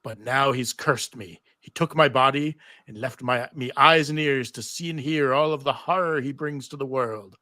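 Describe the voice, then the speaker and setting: A man voicing a tormented, bitter male bard cursed to be a severed head, gravely, in a comedic table read. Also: medium pitch, normal speed, hardly any pauses, sharp tone, no room sound, close to an everyday voice. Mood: anguished, grave.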